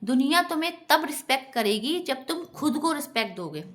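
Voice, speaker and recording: A woman's voice: high voice, sing-song, brisk, a bit bright. A woman giving an earnest, instructive motivational talk directly to her viewers. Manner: earnest, instructive, often addresses listeners as friends. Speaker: self-help vlogger.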